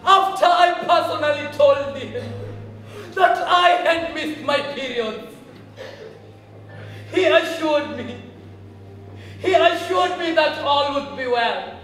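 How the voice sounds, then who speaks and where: A young performer on stage playing a distressed, pleading teenage schoolgirl with halting, emphatic delivery. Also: high-pitched, fairly bright tone. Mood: pleading, accusing. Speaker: stage actor in a school play. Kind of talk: projected stage drama acting. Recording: echoey hall, distant mic, faint music.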